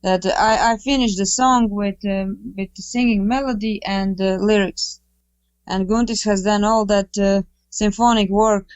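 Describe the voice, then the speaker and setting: A woman's voice: medium pitch, steady tone, a little slow, darkish tone, smooth, no echo. A woman, a singer-songwriter guest, speaking hesitantly with many fillers.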